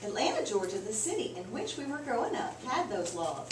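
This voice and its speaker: Distant noisy recording, woman, highish animated voice, expressive storybook read-aloud, emphatic teacher-like delivery. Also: fairly quick. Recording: roomy, distant mic, clearly noisy background.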